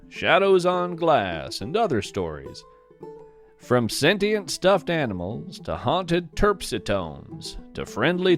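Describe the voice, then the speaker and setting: A man narrating a scripted podcast as its host, in a measured, reflective way. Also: on the high side. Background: plucked guitar music.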